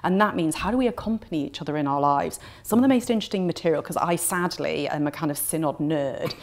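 A woman in her forties with a fairly low voice speaks fluently and with conviction. She lays out her points in steady, emphatic runs and stacks rhetorical questions one after another, like a seated interviewee in a quiet indoor room. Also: fast talker. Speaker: theologian interviewed on the synod. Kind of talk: earnest explanatory interview talk. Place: indoor room with plain walls.

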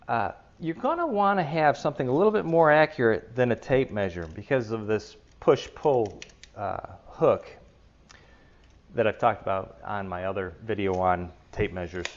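A man's voice: lowish voice, dull audio. A middle-aged man hosting a casual woodworking video, chatty and enthusiastic as he demonstrates a tool in his kitchen. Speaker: woodworking channel host. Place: home kitchen, indoors. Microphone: lapel mic.

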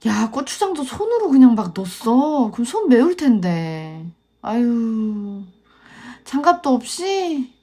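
A young woman co-hosting a casual dating-show review, chatty and expressive with quick reactions. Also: a little high.

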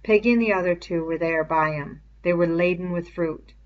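A woman's voice: lowish voice, normal speed. A woman reading a novel aloud as scripted narration, on a dull lo-fi recording. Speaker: audiobook reader.

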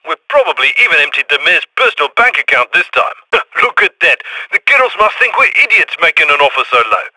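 A chirpy heist handler, his high, bouncy voice swooping up and down on every line. The tone is bright and sharp, tossing out slang and ending lines with a questioning "yeah?". It is recorded close and dry, with no room sound. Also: sing-song, brisk, no echo, full character voice, low-quality recording. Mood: upbeat. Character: cheerful adult male heist handler on radio. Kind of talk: video game voice lines.

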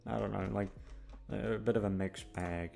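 A young man talks casually to his listeners in a low, gravelly voice. He rambles hesitantly and puts himself down, swearing a little, with faint music behind him. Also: steady tone, normal speed, darkish tone. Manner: hesitant, self-deprecating, mildly sweary. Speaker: audio roleplay creator.

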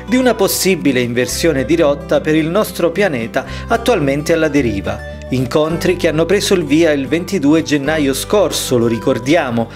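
Guy doing a scripted news voiceover, bit high pitched, music under it. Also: animated, normal speed, few pauses. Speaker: reporter narrator. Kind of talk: scripted voice-over narration.

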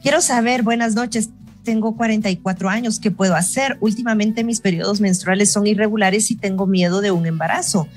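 A woman in her thirties with a Guatemalan Spanish accent, hosting a call-in show and reading listener messages in a clear, measured way. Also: medium pitch, fairly even intonation, faint music behind. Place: home room on a video call. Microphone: earphone mic on a video call.

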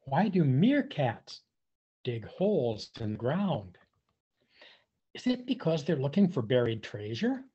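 Husky older man, very animated storybook reader. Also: medium pitch, slow, long pauses. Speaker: volunteer storybook reader for young children. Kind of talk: picture-book read-aloud for preschoolers. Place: indoors, on a video call. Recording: dull, muffled webcam audio.

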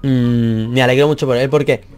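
A man's voice: medium pitch, fairly even intonation, moderate pace, a little mellow, clear, resonant tone, faint music behind. A young man whose first language is Catalan, reading viewer comments from a small office and answering them in a rambling, self-deprecating way, swearing casually. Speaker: math youtuber.

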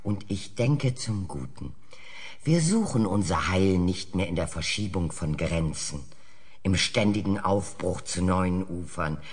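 An actor playing a measured, reproachful senior statesman, arguing deliberately in a dramatized television debate. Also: sits low, expressive. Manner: deliberate, argumentative, rhetorical. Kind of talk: audio drama acting as TV debate. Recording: long hall reverb, played-back debate.

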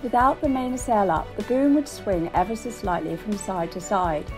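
Calm woman narrating a sailing voyage. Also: medium pitch, normal speed. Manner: calm, explanatory. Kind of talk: scripted voice-over narration. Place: voice-over, not recorded on deck. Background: music.

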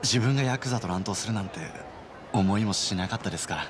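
A man's voice: lowish voice, fast, background noise, lightly acted. Polite, earnest young man voiced by an actor. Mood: sincere, grateful. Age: young adult. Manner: polite, soft-mannered speech. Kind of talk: Japanese video game voice acting.

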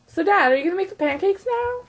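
Very squeaky gushing little girl. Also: expressive, fast talker, slight background noise. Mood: whiny, demanding. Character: Penelope, gushing whiny little doll girl, doted-on daughter.

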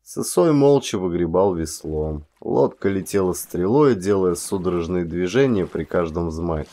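Guy reading an audiobook, lowish voice, measured actorly storytelling. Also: a little mellow. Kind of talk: literary storytelling narration.

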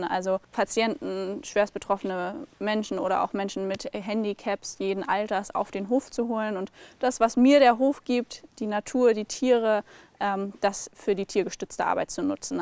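A woman in her twenties, a physiotherapist helping on her family's farm, talking quickly and lively outdoors in a documentary. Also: mid-range voice, no room sound. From Germany. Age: 20s. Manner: lively, chatty, explains things quickly. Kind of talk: documentary interview and walk-and-talk. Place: outdoors on a farm, in a barnyard and pasture.

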